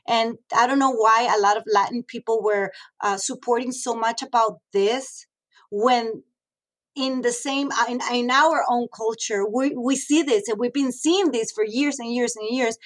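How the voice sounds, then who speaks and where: A woman's voice: on the high side, a little slow. A woman in her thirties, originally from Mexico, talking frankly in a casual interview, with many fillers and restarts. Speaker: guest, radio host and model.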